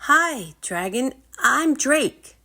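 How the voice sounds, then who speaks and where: An earnest young peasant boy from a storybook, as a woman reads him. His voice lifts high and moves around a lot, full of wonder and a little unsure of himself. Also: sing-song, very slow, bright, forceful tone, heavily acted. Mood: polite, eager. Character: earnest, nervous peasant boy, awed young dragon master.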